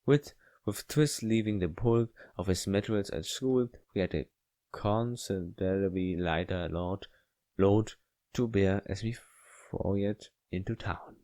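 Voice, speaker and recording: A man doing a casual playthrough, reading a visual novel's text aloud in a halting way and stumbling over the written lines. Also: low voice, dark tone, no echo. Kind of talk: casual read-aloud game commentary. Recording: music behind the voice.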